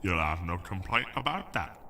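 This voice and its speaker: A man's voice: deep voice, very animated, moderate pace, bright, forceful tone, far from the mic, a little hiss or hum, exaggerated voice. A man playing a stern, grand archangel passing judgment with authority in an audio drama. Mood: grudgingly generous. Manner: pronouncing, authoritative. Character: stern male archangel, grand, judging, grudgingly fair.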